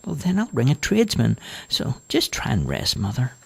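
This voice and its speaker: A man's voice: medium pitch, huge pitch swings, moderate pace, creaky, husky, voice pushed up, heavily acted. A man voicing a patient, weary grown daughter in a storybook reading, soothing and exasperated by turns.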